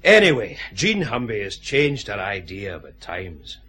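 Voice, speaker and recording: Grumpy scottish cop in a radio drama, really deep voice, dry but up and down. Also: male voice, measured pace, breathy edge, exaggerated voice. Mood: matter-of-fact. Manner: grumpy, deadpan, homely. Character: grumbling, dry-witted bachelor detective inspector with an ulcer. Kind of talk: radio detective drama acting.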